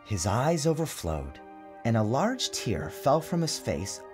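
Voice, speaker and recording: A man narrating his own story as a dramatic storybook reading, in a theatrical, measured and expressive manner. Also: mid-range voice. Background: music.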